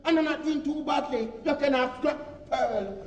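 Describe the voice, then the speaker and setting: An actor playing a proud, put-upon Jamaican street-vendor husband, pleading and protesting in a comic stage play. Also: male voice, extremely high pitch, moderate pace, dull audio. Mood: pleading, defensive. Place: on a theatre stage before an audience. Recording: echoey theatre hall, distant, noisy.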